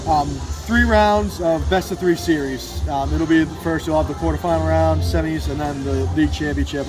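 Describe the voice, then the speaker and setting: Higher-pitched enthusiastic young man, music behind. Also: low-quality recording. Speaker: PA announcer and radio producer for a baseball team, interview guest. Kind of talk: casual sports interview. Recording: outdoor recording with music behind.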